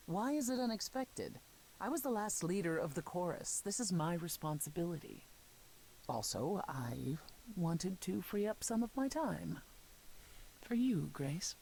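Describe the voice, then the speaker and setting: An actress playing a proud, composed goddess in a video game, speaking formally with a dry, deliberate manner. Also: female voice, fairly low pitch, expressive, creaky, mellow, a little hiss or hum, poor audio. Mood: measured, sincere. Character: composed, proud goddess, sardonic sister and former leader.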